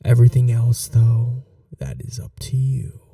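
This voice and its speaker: A man voicing an ancient, cunning male vampire lord in a whispered ASMR roleplay, courtly and seductive, with a husky, half-whispered voice. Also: medium pitch, mellow, no echo, studio quality, recorded from a distance, soft background music. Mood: predatory, menacing. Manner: courtly, slow and seductive, turning menacing.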